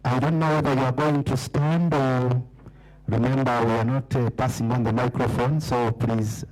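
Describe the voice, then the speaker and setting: A man's voice: medium pitch, flat delivery, moderate pace, darkish tone, soft background music, low-quality recording. A man moderating a formal meeting, thanking speakers and announcing the next agenda items in a courteous manner.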